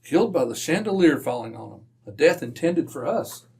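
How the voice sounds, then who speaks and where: An actor playing a puzzled, excitable British gentleman sidekick in an old-time radio mystery, exclaiming in bewilderment. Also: fairly high pitch, fairly quick, vocal fry. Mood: uneasy.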